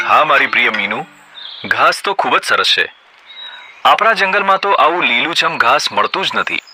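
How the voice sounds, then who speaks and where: A man voicing a gentle, trusting male goat in a cartoon, friendly in manner. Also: medium pitch, big pitch swings, moderate pace, lightly acted. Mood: affectionate. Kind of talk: cartoon voice acting. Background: background music.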